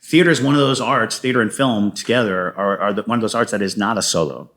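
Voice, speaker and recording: A man's voice: fairly low pitch, fast talker, a bit bright. A man talking casually and at length as an interview guest, a wordy storyteller who explains his creative work. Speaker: guest playwright and podcaster.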